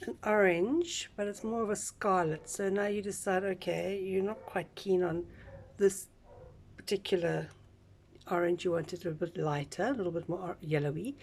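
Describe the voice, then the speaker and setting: A woman with a slightly croaky voice, giving a chatty, relaxed step-by-step craft tutorial with small asides. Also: lowish voice, a little hiss or hum. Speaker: craft instructor.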